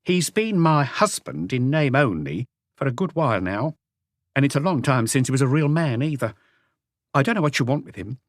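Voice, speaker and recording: A man's voice: medium pitch, no echo, clean studio sound, voice pushed up, close to an everyday voice. A man voicing a defiant, impatient woman who is blunt, scornful and unapologetic, in an audiobook story. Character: defiant, impatient woman, proud of her lover.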